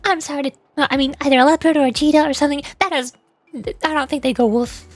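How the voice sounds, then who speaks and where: Girl streamer, high voice, rambling and playful while gaming, quiet music behind. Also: fairly even intonation, quick pace, no room sound. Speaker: VTuber game streamer. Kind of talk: casual livestream commentary while playing a game.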